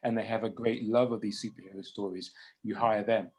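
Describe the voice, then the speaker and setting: A man's voice: on the low side, steady tone, fairly quick, darkish tone. A man hosting a panel discussion in a chatty, informal, conversational way.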